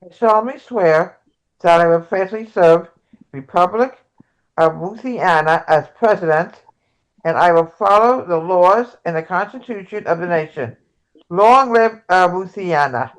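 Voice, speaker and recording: A woman's voice: lowish voice, animated, a little slow, rough recording. Woman acting a solemn newly elected female president. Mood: solemn, formal. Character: victorious progressive female president making solemn public address. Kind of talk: amateur table read of a political speech.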